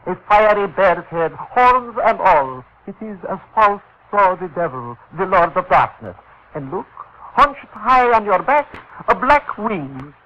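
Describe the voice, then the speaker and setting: A fussy, proud costumier in a vintage radio drama. The voice is high and sharp, swooping grandly as he shows off. The recording is a slightly dull old broadcast. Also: slow, heavily acted. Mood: proud, grandiose. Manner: florid, excitable, grandiose. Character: fussy, theatrical male costume-shop proprietor, proud showman. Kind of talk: 1940s radio acting.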